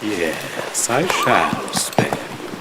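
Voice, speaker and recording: Cruel video game demon, grandiose threats, swooping pitch, heavy background noise. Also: male voice, very animated, drawn-out words, exaggerated voice. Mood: menacing. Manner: pompous, archaic, threatening. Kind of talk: video game villain acting. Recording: very noisy game cut-scene audio.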